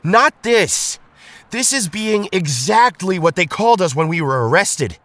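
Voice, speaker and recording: A man's voice: sits high, lively intonation, a little slow, fairly bright tone, no room sound. A man playing a stern young superhero team leader in an audio drama, reproachful and emphatic toward a friend. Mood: angry, reproachful. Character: Tommy, stern young male Power Ranger leader, disappointed friend.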